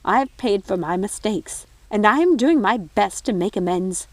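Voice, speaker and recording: Woman as older fairy nanny, storybook, reproachful, protective, emphatic, medium pitch. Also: animated, normal speed, a little mellow, no room sound, close to an everyday voice. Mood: pleading.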